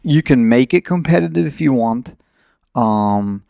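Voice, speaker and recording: A man's voice: mid-range voice, expressive, unhurried, vocal fry, mellow, no echo, clean studio sound. A middle-aged man talking in a casual, reflective and blunt way as he hosts a podcast conversation.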